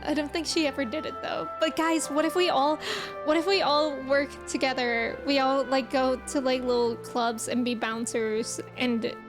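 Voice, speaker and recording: A woman with a high voice chats casually as a game streamer. She is playful and teasing, with faint music in the background. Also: steady tone, normal speed. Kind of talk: casual livestream chat.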